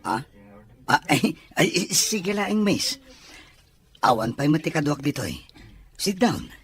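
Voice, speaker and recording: A man playing a shy, smitten young gentleman in an audio drama, with a husky, breathy voice and a hesitant manner. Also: a little high, big pitch swings, slow pace, full character voice. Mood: bashful, smitten. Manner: hesitant, bashful. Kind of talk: Ilocano audio drama acting.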